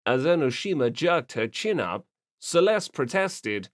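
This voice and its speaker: A man's voice: on the high side, sing-song, a little slow, no echo, studio quality. A man reading scripted story narration as an audiobook narrator.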